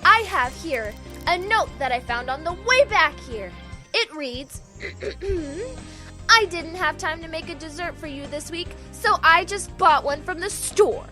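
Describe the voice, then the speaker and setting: A woman's voice: very high-pitched, expressive, unhurried, music in the background. A woman playing a spirited, confident young accuser with a theatrical manner in an amateur radio play. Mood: smug.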